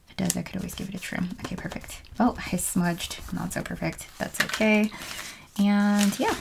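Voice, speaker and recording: Low, creaky-voiced woman musing as she crafts. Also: fairly even intonation, moderate pace, noisy background. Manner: musing to herself, often trailing off into murmurs. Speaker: planner video host. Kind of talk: casual crafting chat, narrating as she works. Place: at home, indoors.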